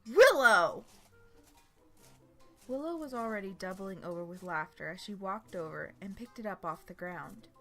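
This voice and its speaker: A woman's voice: mid-range voice, lively intonation, fairly quick, hardly any pauses, fairly bright tone. A woman reading a scripted story aloud as its narrator. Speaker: fan fiction narrator. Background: background music.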